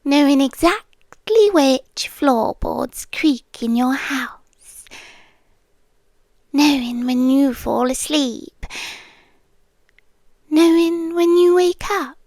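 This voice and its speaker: A woman acts out a doting, possessive British vampire girlfriend in an ASMR roleplay. Her breathy voice often slips into whispers and moves extremely slowly, with teasing menace beneath the sweetness. The sound is close and dry. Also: sits high, darkish tone, dry recording, full character voice. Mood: adoring, obsessive. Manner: slow, lingering, teasing menace. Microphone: close microphone, dry.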